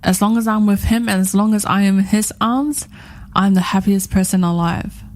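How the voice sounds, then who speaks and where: A woman's voice: medium pitch, normal speed, a little mellow. A woman in her thirties co-hosting a radio chat show, talking in a rambling, heartfelt way and repeating herself often. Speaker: radio co-host.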